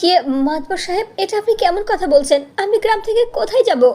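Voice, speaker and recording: A woman voicing a gentle young beautician in a cartoon, anxious and wronged, pleading in a bewildered way. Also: very high-pitched, expressive, brisk, voice pushed up.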